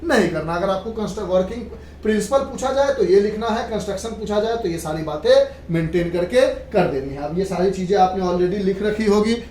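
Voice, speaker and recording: A woman's voice: medium pitch, a little fast, warm tone. A woman teaching physics in an instructive lecture style, repeating points and mixing in English terms. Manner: instructive, repeats and checks understanding. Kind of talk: classroom lecture. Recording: echoey room, distant mic, background noise.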